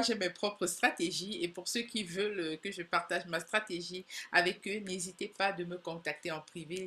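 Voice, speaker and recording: A woman's voice: on the low side, bright, forceful tone. A woman talking straight to her viewers in a casual explainer video, walking through each point step by step. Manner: chatty, explains step by step, addresses viewers directly. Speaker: video creator.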